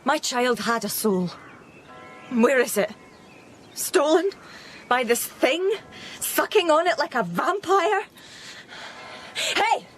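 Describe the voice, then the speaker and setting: A woman playing an anguished, defiant grieving mother in an audio drama, sharp-tongued and emotional. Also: high voice, lively intonation, slow, pauses often, a little hiss or hum. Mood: distraught. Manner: emotional, searching, challenging.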